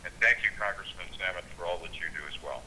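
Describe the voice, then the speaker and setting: A man's voice: low-pitched, quick pace, bright, forceful tone, a little hiss or hum, rough recording. A man speaking on a conference call, earnest and often repeating words as he starts a thought. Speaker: conference call speaker, political activist leader.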